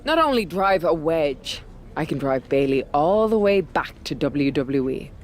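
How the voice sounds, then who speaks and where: A woman's voice: low-pitched, big pitch swings, unhurried, a little hiss or hum. A woman playing a brash, scheming veteran wrestler in a video game cutscene, talking in a persuasive, conversational way.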